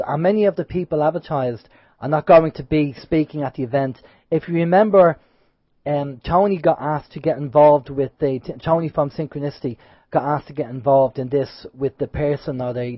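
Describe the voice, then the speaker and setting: A man's voice: on the high side, no echo. A man co-hosting an internet radio show with a sore throat and a slightly nasal voice, chatting casually and rambling a little. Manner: chatty, rambling, lively openings then trailing off. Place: radio studio. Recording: dull lo-fi sound, dry studio.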